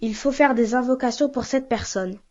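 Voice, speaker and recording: A woman plays an earnest young schoolboy in a children's cartoon. The voice is high and youthful, with a conscientious manner. The soundtrack is a little dull. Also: normal speed, darkish tone, natural voice. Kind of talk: children's educational cartoon dub.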